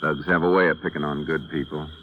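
An actor playing a steady, businesslike Texas Ranger, clipped and methodical, in a 1950s western radio drama. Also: male voice, rumbling low, fast talker, phone-quality sound. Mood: grave, low-key. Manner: clipped, methodical, sober questioning. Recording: noisy old radio broadcast recording.

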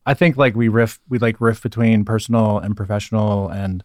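Low-voiced middle-aged American man, casual guest. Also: steady tone, fairly quick, darkish tone, dry recording, clean studio sound. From born in Germany, spent early childhood in Scotland, raised in Northern California. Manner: joking, informal, discursive. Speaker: podcast guest. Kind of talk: casual chat.

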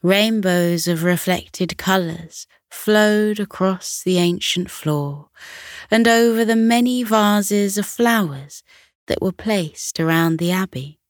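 A woman narrating a scripted bedtime story for children in a gentle, measured way. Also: medium pitch, no echo, clean studio sound.

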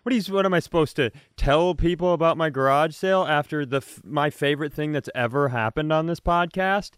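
A man's voice: on the high side, a bit bright, clear, resonant tone, no echo, clean studio sound. An American man co-hosting a comedy podcast, bantering casually in a rambling, playful way full of rhetorical questions.

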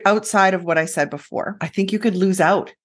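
A woman's voice: mid-range voice, fairly quick, husky. A woman co-hosting a podcast, giving direct, emphatic hiring advice with confidence. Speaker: podcast co-host, recruiting expert. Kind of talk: casual conversation about recruiting.

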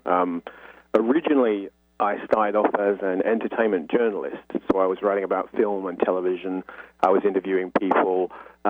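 A man's voice: sits low, no echo. A man with a British accent speaking conversationally as an interview guest. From Britain. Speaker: guest author. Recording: tinny, narrow phone line.

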